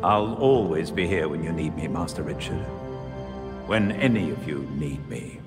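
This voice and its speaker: An actor playing a loyal elderly butler in a video game, formal, courteous, measured and kindly. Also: male voice, sits low, animated. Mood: gently reassuring. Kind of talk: dramatic video game acting. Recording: reverberant hall, music underneath.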